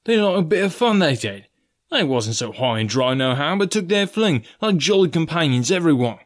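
A man voicing an impatient, greedy pirate coxswain from a storybook, growling complaints in rough sailor speech. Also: fairly high pitch, sing-song, a little fast, voice pushed up. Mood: defiant.

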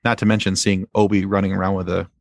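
A man's voice: deep voice, flat delivery, quick pace, vocal fry, no room sound, studio quality. A man speaking as a podcast guest in a conversational fan discussion.

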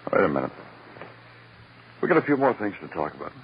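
An actor playing a tough American police detective in a 1950s radio drama, with clipped, pressing, accusatory questioning. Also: male voice, deep voice, very animated, brisk. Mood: firm, probing. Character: tough, probing male police detective interrogating suspects. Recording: dull, noisy vintage radio recording.

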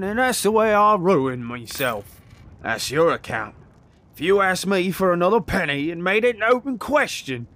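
Miserly old junk dealer, sly and chuckling, high and very animated, audio drama. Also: male voice, full character voice. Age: older. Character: wheezy, miserly old male junk dealer, slyly jocular.

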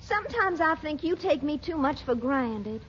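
An actress playing a coy, genteel lady who gently scolds and coaxes her suitor in 1940s radio comedy style. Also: high-pitched, animated, normal speed. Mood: gently scolding. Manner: coquettish, prim, coaxing. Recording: muffled, noisy 1940s radio recording.

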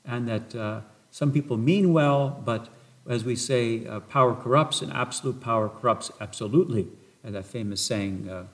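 Male priest, interview guest. Reflective, meandering delivery, average register. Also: medium pitch. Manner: thoughtful, rambling with restarts. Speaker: parish priest. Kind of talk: casual interview answers.

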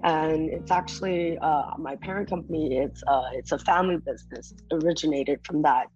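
A young woman from Malaysia, a startup founder, explaining her work in a measured, businesslike way as a podcast guest. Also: low voice, a little mellow, dull audio, faint music behind. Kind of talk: casual podcast interview.

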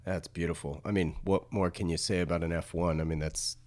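A man's voice: low-pitched, fairly even intonation, a little fast, darkish tone. A man hosting a podcast, chatty and enthusiastic as he interviews his guest. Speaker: podcast host. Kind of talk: casual interview chat.

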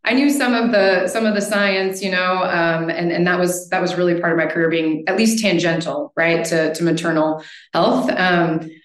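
A woman's voice: lowish voice, steady tone, normal speed. Chatty American woman in a roomy space. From United States. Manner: chatty, often tags sentences with "right?". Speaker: podcast guest. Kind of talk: casual conversation. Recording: roomy echo.

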